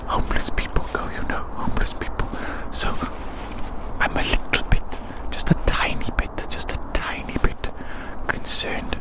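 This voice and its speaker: Casting: ASMR performer for whispered roleplay rambling, playful and teasing, repeating phrases softly. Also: moderate pace, rough recording. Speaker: ASMR video creator. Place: outdoors in winter woods.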